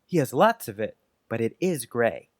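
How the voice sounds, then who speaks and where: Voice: mid-range voice, sing-song, moderate pace, no echo, studio quality, lightly acted. An actor playing an earnest, precocious young schoolboy who chats dreamily in quaint grown-up phrases, in a dramatized audiobook. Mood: fond, chatty. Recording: music under the voice.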